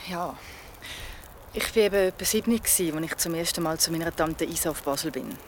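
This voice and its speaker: A woman's voice: low voice, brisk, slight background noise, close to an everyday voice. A woman playing an agitated young storyteller with a Swiss German accent in a naturalistic radio play. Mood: uneasy. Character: agitated young woman recounting a childhood horror.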